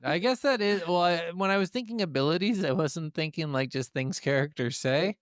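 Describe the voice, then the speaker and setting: A man's voice: a little high, animated, normal speed, clean tone, dry recording, clean studio sound. A man chatting casually about video games as a podcast host, swearing and teasing freely.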